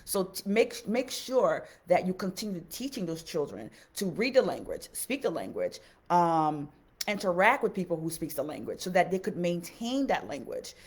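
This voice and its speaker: Haitian creole native lady, kinda low voice, teacher chatting earnestly on a live, repeats stuff to stress it. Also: very animated, moderate pace, slightly rough. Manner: earnest, repeats phrases for emphasis. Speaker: classroom teacher hosting a live stream. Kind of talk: casual advice talk on a live stream.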